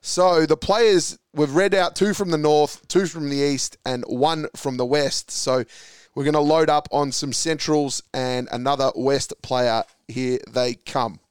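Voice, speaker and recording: Upbeat Australian male quiz host, smooth and crisp, dry close recording. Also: on the high side, fairly even intonation, a little slow, no echo. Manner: upbeat, reads clues then calls answers. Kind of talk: hosting a podcast sports quiz.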